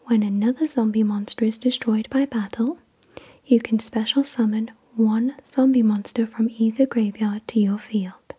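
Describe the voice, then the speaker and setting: A woman hosting ASMR, reading out descriptions in a breathy whisper with careful, soothing enunciation. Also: on the high side, fairly even intonation, normal speed, dark tone. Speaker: ASMR video host. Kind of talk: soft-spoken ASMR card unboxing, reading descriptions.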